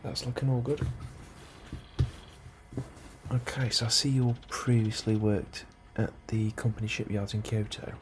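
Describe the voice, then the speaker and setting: A man doing a soft, breathy ASMR role-play as an unhurried, politely understated officer interviewing a newcomer. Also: fairly low pitch. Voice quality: soft, breathy, often near whisper. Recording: steady ambient noise behind the voice. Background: computer and ambience sounds.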